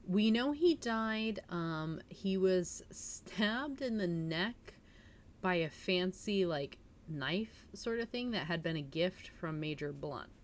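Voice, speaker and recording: A woman with a slightly low voice hosts a cozy story stream, moving between casual chat and reading aloud. Her manner is informal, chatty and giggly. Some noise sits behind her voice on the home streaming mic. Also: expressive, slow. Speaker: livestream host reading a novel aloud. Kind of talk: casual livestream chat and audiobook-style reading.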